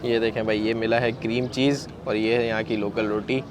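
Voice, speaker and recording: A man's voice: on the low side, flat delivery, fairly quick. A man with a sore throat, chatting to viewers as he films his own travel vlog, calling them brother as he walks and shows things. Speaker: travel vlogger. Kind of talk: handheld travel vlog narration. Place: outdoors and in a large busy mosque extension. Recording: noisy outdoor phone recording.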